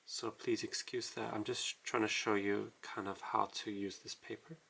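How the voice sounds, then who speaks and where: Guy with a lowish gravelly voice, talks you through stuff while writing, kinda halting and modest. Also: flat delivery, sharp tone. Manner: halting, self-deprecating explaining while writing. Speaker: language tutorial host. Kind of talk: casual tutorial walkthrough.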